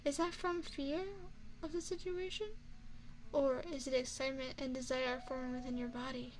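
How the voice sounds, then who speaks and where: A woman's voice: high-pitched, fairly even intonation, moderate pace, lightly acted, poor audio. A woman voicing an elegant, seductive vampire chef in an ASMR roleplay, speaking formally with refined, teasing poise, close to the microphone. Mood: hungry, seductive. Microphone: close binaural microphone.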